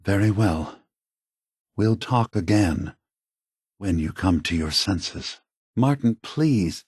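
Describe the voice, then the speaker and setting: An ancient synthetic being who leads with gentle, weary idealism in a video game. The voice is husky and takes its time, halting and choosing words with earnest care. It is recorded very dry and close. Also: male voice, fairly low pitch, lively intonation, no echo, full character voice. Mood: pleading, alarmed. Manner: measured, halting, earnest, diplomatic. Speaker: leader of a synth refuge. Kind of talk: video game character dialogue.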